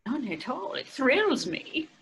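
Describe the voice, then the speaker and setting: A woman's voice: sits high, sing-song, slow pace, fairly bright tone, slightly rough, heavily acted. A woman playing a theatrical, easily thrilled married society lady in an old-fashioned radio drama. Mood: thrilled, intrigued.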